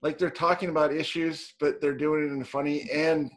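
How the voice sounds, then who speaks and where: A man's voice: fairly high pitch, little pitch movement. A man from Canada, a guest on a video call, chatting in an easygoing, agreeable way. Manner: easygoing, agreeable, quick to laugh along. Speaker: guest, sales coach. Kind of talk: casual video-call chat.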